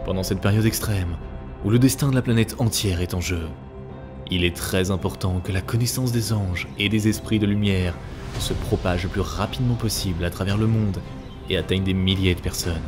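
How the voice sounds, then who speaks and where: Deep-voiced male narrator over music. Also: moderate pace. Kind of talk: scripted narration.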